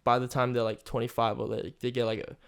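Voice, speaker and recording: A young man co-hosting a podcast, chatting casually, relaxed and curious, with lots of "like"s. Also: medium pitch, fast talker, gravelly, clear, resonant tone. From Australia. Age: young adult. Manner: asks questions, relaxed, heavy use of "like". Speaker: podcast co-host. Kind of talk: casual chat.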